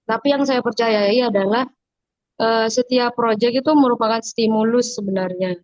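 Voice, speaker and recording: Young woman, halting speech, dry muffled call audio. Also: fairly high pitch, fairly even intonation, dry recording. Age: young adult. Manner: hesitant, many fillers. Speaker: online forum participant. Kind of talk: sharing views on a video call. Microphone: video call.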